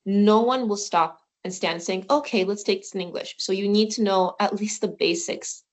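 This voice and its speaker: A woman's voice: medium pitch, normal speed. A young woman doctor taking questions on a call and explaining her hospital work plainly, with many fillers. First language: not Swedish. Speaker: guest doctor. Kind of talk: online presentation talk. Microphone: phone.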